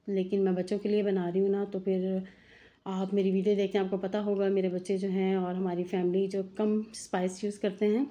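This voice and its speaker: A mother narrating a home cooking video, speaking to her viewers in a chatty, homely way. Her voice has a mellow, warm tone at a middle pitch, relaxed and unhurried. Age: adult mother. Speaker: cooking channel host. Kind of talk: home cooking tutorial voice-over.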